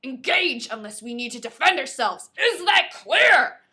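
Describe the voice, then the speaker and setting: Woman as army captain, stern, commanding, snapping orders, bright forceful tone, novel read-aloud. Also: sits high, sing-song, measured pace, exaggerated voice. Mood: stern, exasperated. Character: stern male army captain barking orders at his squad.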